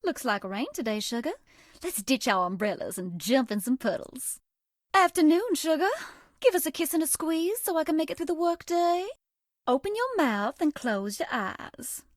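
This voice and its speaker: A sugary, high-voiced Southern belle. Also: female voice, lively intonation, normal speed, dry recording, studio quality. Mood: sweet, teasing. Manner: coy, sugary, folksy. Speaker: voice actress. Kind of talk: video game character voice lines.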